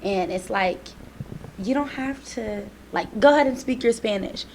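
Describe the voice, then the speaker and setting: A young woman co-hosting a student podcast, talking in a casual, emphatic way with plenty of "like" fillers. Her pitch sits in a middle range. A faint noise floor runs under the recording. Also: normal speed, gravelly. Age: young adult. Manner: animated, emphatic, many "like" fillers. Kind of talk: casual chat.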